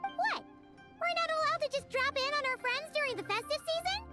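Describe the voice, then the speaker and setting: Tiny hyper fairy sidekick for a game, super high and squeaky, cheeky. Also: female voice, brisk, sharp tone, soft background music. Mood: indignant. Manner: squeaky, exclamatory, indignant when teased. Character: tiny excitable female fairy companion, childlike and cheeky. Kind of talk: video game voice acting.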